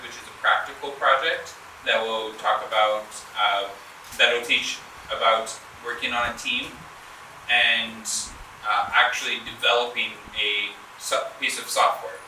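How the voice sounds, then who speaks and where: A young man with a Southern accent speaks at an event, his tone very bright and forward, sprinkling his talk with filler sounds. He is heard from a distance in a very echoey hall with faint background noise. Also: mid-range voice. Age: 20s. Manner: relaxed, many filler uhs, loses his thread. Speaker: student speaker at an education fair. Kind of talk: presentation to an audience. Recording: echoey room from far off.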